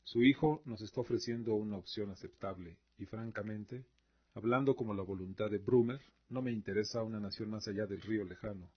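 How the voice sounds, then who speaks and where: A pragmatic, war-weary old emperor played by a man in a radio play. His voice is on the low side, captured in dull, lo-fi sound. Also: fairly quick, lightly acted. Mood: weary, measured. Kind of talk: scripted audio drama acting. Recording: dull lo-fi recording.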